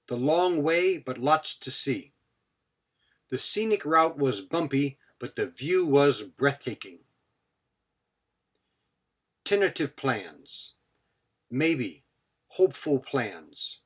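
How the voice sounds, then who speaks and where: A man's voice: medium pitch, husky. A man giving a scripted English vocabulary lesson as a teacher, speaking in short, clipped phrases followed by example sentences.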